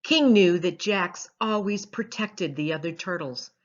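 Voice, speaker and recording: A woman reading a children's storybook aloud as its author, in an expressive storytelling style. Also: lowish voice, measured pace. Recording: dull lo-fi sound.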